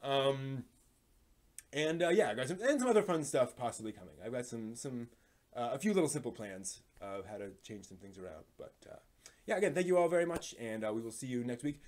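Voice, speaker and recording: A man's voice: medium pitch, sing-song, brisk, darkish tone. Male live stream host, mid-range voice, informal and hesitant, chatting loosely to viewers. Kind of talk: casual live stream chat.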